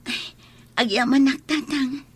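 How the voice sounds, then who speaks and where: A woman's voice: sits high, steady tone, measured pace, breathy edge, dry recording, full character voice. A woman with a northern Philippine accent acting an anxious, principled wife in a radio drama. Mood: weary, evasive. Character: anxious, devout, principled wife of a criminal husband.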